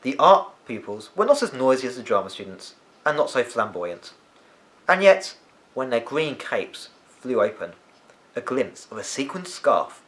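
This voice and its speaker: Man, children's storyteller, expressive narration, mid-range voice, very animated intonation. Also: mid-range voice. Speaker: storyteller reading aloud.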